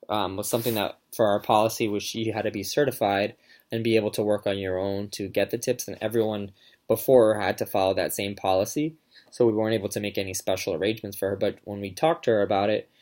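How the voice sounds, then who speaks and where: A man's voice: lowish voice, darkish tone. A young man giving a casual class presentation, talking in a hesitant way with many fillers and restarts. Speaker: student presenter.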